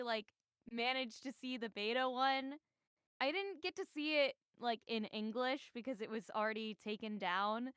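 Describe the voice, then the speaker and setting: A woman voice actor on a video call, talking with excited, fan-like energy. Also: sits high, clean tone, dry recording, studio quality. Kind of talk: casual guest talk.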